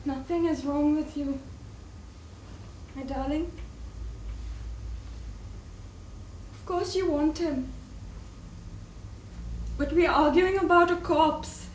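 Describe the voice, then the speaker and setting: A woman's voice: extremely high pitch, darkish tone. A woman acting in a stage play as a proud widowed mother, a defiant and pointed rival in love. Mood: grave, firm. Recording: echoey, distant stage recording with faint noise.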